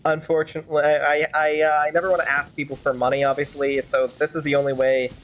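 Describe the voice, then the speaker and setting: A woman streaming a game, chatting casually and wryly in halting, improvised commentary. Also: low-pitched, level tone, rough recording. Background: game music.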